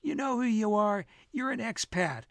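A man's voice: high voice, expressive, moderate pace, creaky, fairly bright tone, dry recording, studio quality, voice pushed up, full character voice. A man voicing a brash, teasing American man from a novel, emphatic and needling in his delivery. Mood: mock-scolding. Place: dry radio studio.

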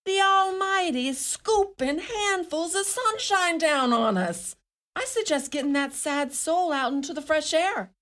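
Radio drama: bossy, scolding Irish mother, high and very animated, theatrical delivery. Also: unhurried, heavily acted. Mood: brisk, bossy. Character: sharp-tongued, indignant Irish mother, middle-aged or older. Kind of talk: radio play acting.

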